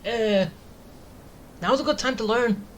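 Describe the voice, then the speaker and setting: Casual male streamer: offhand gameplay reactions, slow pace, blunt phrasing, noisy recording with clicking. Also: very high-pitched. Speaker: let's play streamer.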